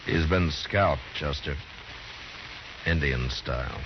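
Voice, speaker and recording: An actor playing a stern, steady frontier marshal in an old-time radio western, speaking in terse, grave, measured lines. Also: male voice, extremely low pitch, heavy background noise. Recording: dull old radio recording, loud music.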